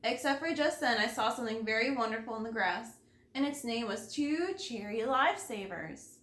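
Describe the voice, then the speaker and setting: A woman's voice: on the high side, expressive, moderate pace, fairly bright tone. A woman reading a children's story aloud expressively, as a kindergarten teacher does for her class. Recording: echoey room.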